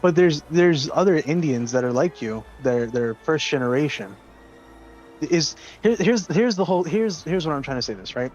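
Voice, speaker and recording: A man's voice: fairly high pitch, lively intonation, moderate pace, darkish tone. A man chatting casually in a probing way, often repeating his questions. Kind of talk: casual chat. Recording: slightly dull lo-fi mic, music behind. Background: music.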